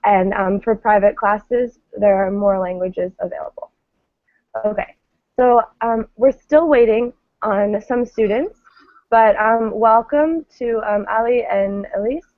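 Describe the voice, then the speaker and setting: A woman from the United States teaching an online English class, speaking slowly with many ums, over a video call. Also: medium pitch, no room sound. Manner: friendly, many ums, slow clear talk. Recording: dull lo-fi video call audio.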